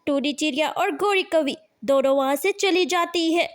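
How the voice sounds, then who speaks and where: High-pitched woman narrating a children's story. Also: moderate pace.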